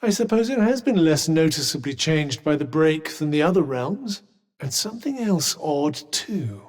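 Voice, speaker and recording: A man's voice: a little high, sing-song, normal speed. A man with a British accent voicing a haughty, erudite magical cuff in a fantasy video game, with polished diction and dry sarcasm. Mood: dry, needling. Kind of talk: scripted game companion dialogue.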